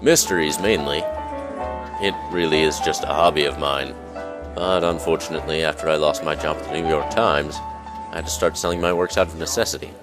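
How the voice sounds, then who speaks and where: A man's voice: a bass voice, fairly even intonation, brisk. A man playing a reserved, observant mystery writer in a radio play, understated and formal. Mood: modest. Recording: music bed under dialogue. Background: music.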